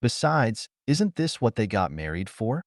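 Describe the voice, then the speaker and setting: Man playing cool, wry young man, lively intonation. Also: on the low side, measured pace, no room sound, clean studio sound. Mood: wry, unbothered.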